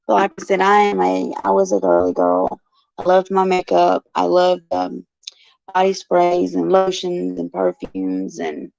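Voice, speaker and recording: A woman hosting her show with a raspy, gruff voice, chatting in a folksy way and answering viewers by name from a care facility. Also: on the low side, darkish tone, dry recording. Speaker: show host. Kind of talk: casual chat, answering viewers. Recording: dull lo-fi, dry sound.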